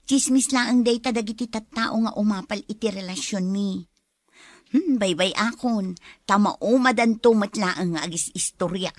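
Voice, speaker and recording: A woman's voice: medium pitch, normal speed, no echo, clean studio sound, natural voice. A woman playing a troubled, suspicious young wife in an Ilocano radio drama. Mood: cheerful, carefree.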